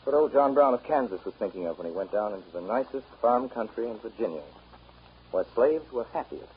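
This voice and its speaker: A man's voice: mid-range voice, lively intonation, fairly quick, slightly rough. An actor playing an earnest, wry American man with an American accent, explaining history reflectively in a 1930s radio drama. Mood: ironic. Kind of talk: 1930s radio drama acting, conversational storytelling. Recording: dull old 1930s radio recording.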